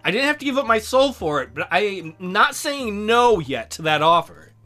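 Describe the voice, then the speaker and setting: Middle-aged male let's-player, crude and rambling, swinging pitch, game music underneath. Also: big pitch swings, normal speed, pushed and bright. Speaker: let's play streamer. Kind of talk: joking game commentary and read-aloud.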